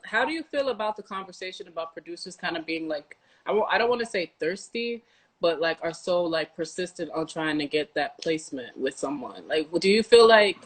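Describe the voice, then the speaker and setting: A woman's voice: lowish voice, expressive, brisk. An American woman hosting an interview show, talking casually and informally with some slang. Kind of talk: casual chat.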